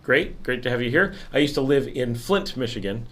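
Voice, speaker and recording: A middle-aged man hosting a livestream, chatting calmly in an unhurried, mellow way, his voice a little raspy tonight. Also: medium pitch. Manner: calm, unhurried, mellow talker. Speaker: livestream host. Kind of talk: casual chat.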